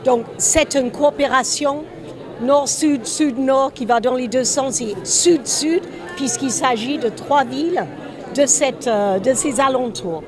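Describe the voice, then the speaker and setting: High-voiced woman speaking amid a crowd. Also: takes it slowly. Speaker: visiting city delegate. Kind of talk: press statement. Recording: busy crowd behind, outdoors.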